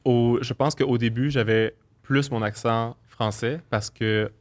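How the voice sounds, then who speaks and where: A man from Quebec with a softened Quebec French accent, a podcast guest explaining things in a measured way. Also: mid-range voice, fairly even intonation, no echo. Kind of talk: casual chat.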